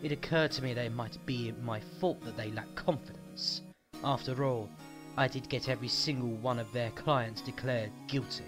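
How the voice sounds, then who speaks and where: An actor playing a proud, bitter young prosecutor, condescending and sardonic, in a dramatic fan audio drama. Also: male voice, medium pitch, steady tone, moderate pace, over music, lightly acted.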